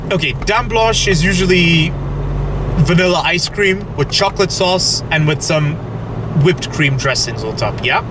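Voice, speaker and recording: A young man from Belgium vlogging casually from the driver's seat of a car, chatty and hesitant with many restarts, talking to viewers through a camera on the dashboard. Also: fairly high pitch. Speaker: food vlogger. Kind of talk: casual vlog chat to camera. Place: inside a car, driving. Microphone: camera mounted on dashboard. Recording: car and road noise behind.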